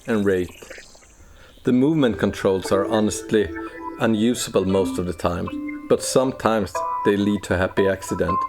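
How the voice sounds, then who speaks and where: Low-voiced man narrating a tutorial over music. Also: darkish tone. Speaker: plugin developer presenting walkthrough.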